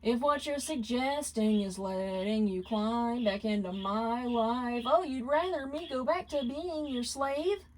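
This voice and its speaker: A woman's voice: fairly high pitch, a little slow, hardly any pauses, slight background noise, pitched above the performer's own voice, lightly acted, poor audio. A woman voicing a mocking, vengeful male demon ex-husband in a dramatic fan fiction reading. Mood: accusing.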